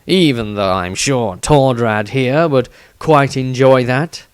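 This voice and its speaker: A man voicing a stern, frowning, guarded man with measured, sardonic formality in a fantasy audiobook. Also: medium pitch, smooth, no room sound, close to an everyday voice.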